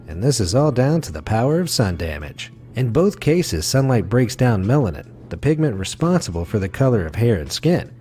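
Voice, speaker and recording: A man reading a scripted facts video as narrator, with a smooth, wry delivery. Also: medium pitch, warm tone.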